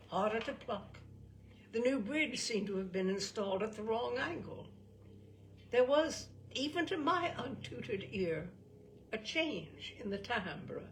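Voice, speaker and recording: A woman reading a literary story aloud as an expressive storyteller. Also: medium pitch, poor audio.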